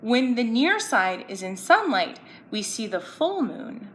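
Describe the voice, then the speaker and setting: Female children's presenter, lively swooping pitch, playful question-and-answer teaching, echoey recording with some background noise. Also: a little slow. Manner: playful, asking young viewers simple questions and answering them. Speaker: children's story-time presenter. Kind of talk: children's educational story time, explaining and reading aloud. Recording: roomy echo, background noise.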